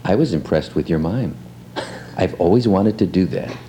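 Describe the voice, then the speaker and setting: A man's voice: sits low, lively intonation, moderate pace, warm tone, a little hiss or hum. A man co-hosting a talk show in casual, unscripted chat.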